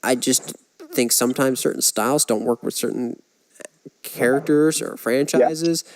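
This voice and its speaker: A man's voice: mid-range voice, a little slow, darkish tone, a little hiss or hum, poor audio. A man co-hosting a podcast, casually discussing films and giving his opinions with examples. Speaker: podcast co-host.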